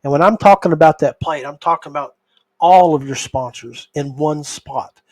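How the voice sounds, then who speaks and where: A man's voice: on the high side, moderate pace, darkish tone, dry recording, poor audio. Dry, close-sounding man hosting a podcast. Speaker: podcast host. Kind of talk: casual talk, giving advice.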